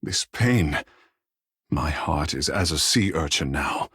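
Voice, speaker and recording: A man's voice: a bass voice, animated, slow pace, a bit bright, slightly rough, dry recording, clean studio sound, heavily acted. An actor playing a proud, playful young godlike fish-man artist in a video game, speaking in lyrical, theatrical, flowery phrases. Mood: wounded, bitter.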